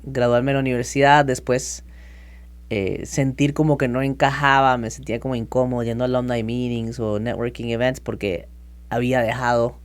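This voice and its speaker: A man in his thirties from Costa Rica, telling his story as a podcast guest in a lively, chatty way. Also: medium pitch, normal speed, smooth. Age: 30s. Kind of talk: casual interview talk.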